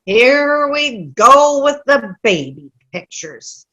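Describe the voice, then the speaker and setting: Cranky old widow, bright and high-pitched woman acting. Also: very animated, takes it slowly, highs cut off, heavily acted. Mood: scornful. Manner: grumbling, dismissive, blunt complaining. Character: cranky elderly widow, scornful, stubborn and naive. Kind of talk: amateur play reading. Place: online video-call play reading.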